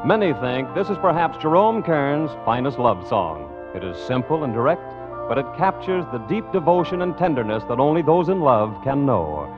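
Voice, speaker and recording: A male announcer from classic radio delivers scripted copy in a formal, polished way. His pitch moves in a lively sweep. The recording is muffled, with long reverb and faint music behind him. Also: normal speed.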